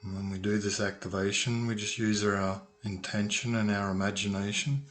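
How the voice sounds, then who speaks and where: A man leading a guided meditation as a calm, unhurried instructor, leaving long pauses between phrases. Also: on the low side. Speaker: meditation guide, sound healer. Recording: noisy recording with ringing tuning forks. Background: humming tuning forks.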